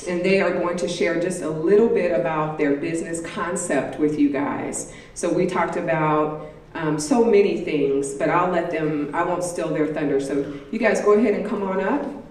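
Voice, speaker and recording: An upbeat woman hosts a live event and calls her program's entrepreneurs up to the front. Her voice is slightly low and sounds distant, with heavy echo all around it. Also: moderate pace, a little mellow, slight background noise. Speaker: program organizer and event host. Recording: echoey hall, distant mic.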